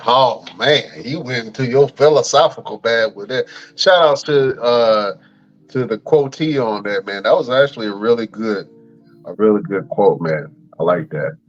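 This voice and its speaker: Male podcast co-host, relaxed slangy chat, dull lo-fi sound, faint music behind. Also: mid-range voice, normal speed. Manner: laid-back, slangy, plugging the show.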